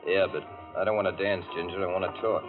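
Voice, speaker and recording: Man acting a low-voiced, cool noir detective. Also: fairly even intonation, fast, lightly acted. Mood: businesslike. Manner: terse, slangy, teasing. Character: cool, flirtatious plainclothes police detective, protective and easygoing. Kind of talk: 1940s radio noir acting. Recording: tinny old radio broadcast, music behind.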